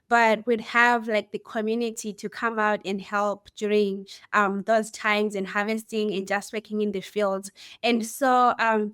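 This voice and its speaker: A woman's voice: on the high side, fairly bright tone, clear, resonant tone, dry recording, clean studio sound. A young woman, a student guest telling stories in a reflective, hesitant way. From Zimbabwe. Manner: reflective, hesitant with many ums. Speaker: podcast guest, university student. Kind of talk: interview storytelling.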